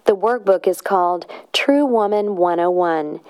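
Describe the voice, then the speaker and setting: A woman's voice: on the low side, measured pace, darkish tone, clean tone. A woman ministry leader promoting a workbook with a direct appeal to listeners. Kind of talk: promotional announcement.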